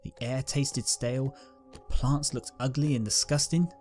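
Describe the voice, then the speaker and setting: A man's voice: mid-range voice, steady tone, a little slow, darkish tone, soft background music. A man narrating a dramatic scripted story as its reader.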